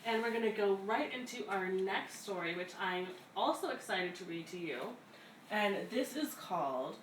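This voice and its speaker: Woman, children's story-time host, read-aloud style, casual introductions, medium pitch, echoey room, faint background noise. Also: expressive, moderate pace. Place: echoey room at a community center.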